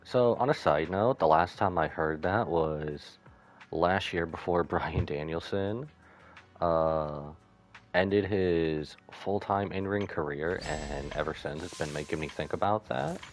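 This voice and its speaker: Very deep-voiced man casually commentating a game. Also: takes it slowly, soft background music. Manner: rambling, drifts into asides. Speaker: live-streamer.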